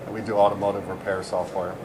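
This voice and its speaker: Low-pitched man, chatty, rambling, filler-heavy, noisy conference floor. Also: little pitch movement, quick pace. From San Diego, USA. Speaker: interviewee, software engineer. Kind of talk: casual conference interview. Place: busy conference venue.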